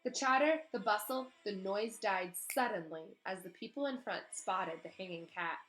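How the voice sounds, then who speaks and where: A woman teacher giving an expressive storybook read-aloud with casual asides to her pupils. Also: medium pitch, moderate pace, faint music behind, poor audio.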